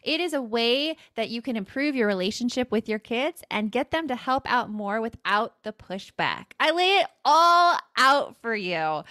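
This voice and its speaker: A woman's voice: mid-range voice, a bit bright, no room sound, clean studio sound. A woman hosting a parenting podcast in casual, chatty conversation, laughing often. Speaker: podcast host. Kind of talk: casual chat.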